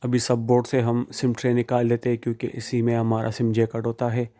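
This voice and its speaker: Man, tutorial narration, very flat intonation, soft-edged mellow tone, informal, step-by-step, addressing viewers. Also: medium pitch, a little fast. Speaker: phone repair tutorial presenter. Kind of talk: instructional step-by-step how-to narration.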